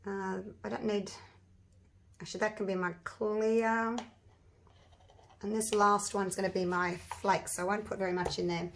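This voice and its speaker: An Australian woman talks in a croaky, husky voice, chatty and rambling as she explains each step of her craft project. Her pitch sits in an ordinary middle range for a woman. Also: a little hiss or hum. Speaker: resin craft channel host. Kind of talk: casual craft tutorial talk.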